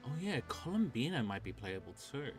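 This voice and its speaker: A man's voice: medium pitch, sing-song, fairly quick, over music. A man giving casual, offhand reactions as he streams a game. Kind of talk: casual gameplay reaction commentary.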